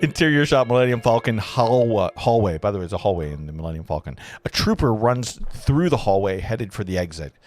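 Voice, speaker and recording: A man's voice: fairly low pitch, lively intonation, a little fast, hardly any pauses, darkish tone. A man narrating screenplay stage directions at a live table read, with casual asides.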